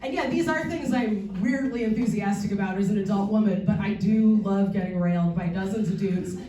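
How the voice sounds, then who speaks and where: A woman, delivering bawdy punchlines deadpan between chatty asides. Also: medium pitch, brisk, echoey room, recorded from a distance. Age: 40s. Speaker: stand-up comedian. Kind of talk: stand-up comedy set. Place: comedy theatre stage before a live audience.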